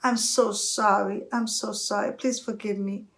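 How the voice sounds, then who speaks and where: A woman's voice: medium pitch, fairly even intonation, a little slow, vocal fry. A woman voicing a tiny, humble male mouse in an animal fable, earnest and stammering, repeating words. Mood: frightened, pleading.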